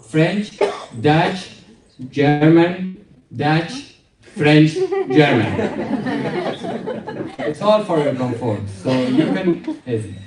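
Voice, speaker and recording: A man in his young adult years performing stand-up in English. He talks slowly in a slightly raised voice that swoops up and down, and his delivery is wry and dry. The sound is roomy and picked up from a distance. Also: fairly high pitch, background noise. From Afghanistan. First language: Farsi. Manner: wry, deadpan joking with laughing asides. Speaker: stand-up comedian. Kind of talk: stand-up comedy set.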